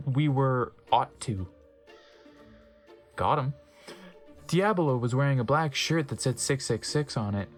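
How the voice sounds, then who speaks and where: A man narrating fan fiction as a dramatic comedic reading, breaking off for wry offhand asides and stumbling over odd wording. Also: medium pitch. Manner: wry, stumbles over misspelled words and comments on them.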